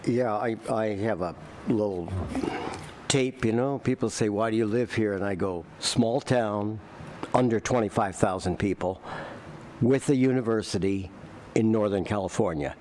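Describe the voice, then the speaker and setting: A man who already serves on the council talks in a folksy, plainspoken way at a candidate forum, often starting a phrase twice. His voice sits a little low. Faint room ambience is behind him. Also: moderate pace, darkish tone, a little hiss or hum. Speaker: city council member running again.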